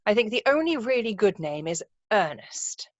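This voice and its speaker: A woman's voice: medium pitch, very animated, normal speed, no room sound, lightly acted. A woman playing a self-assured, romantic young upper-class lady in an amateur play read-through, speaking precisely and emphatically over a video call. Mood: firm, certain. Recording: muffled, dull video-call audio.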